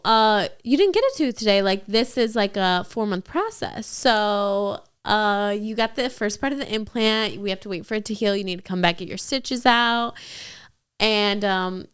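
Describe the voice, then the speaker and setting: A woman's voice: medium pitch, moderate pace, clean tone. A young adult woman co-hosting a podcast, telling a story in a chatty way and saying "like" a lot. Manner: chatty, heavy use of "like". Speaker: podcast co-host. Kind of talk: casual storytelling chat.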